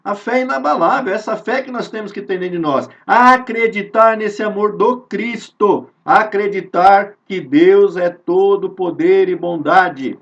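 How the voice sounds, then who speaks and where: Brazilian guy teaching spiritism, high voice, earnest, kinda far off and muffled. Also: fairly bright tone. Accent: Brazilian Portuguese. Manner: earnest, repeats phrases, rhetorical questions, drawn-out emphasis. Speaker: spiritist study leader. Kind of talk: informal devotional teaching. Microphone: video call. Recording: dull lo-fi sound from a distance.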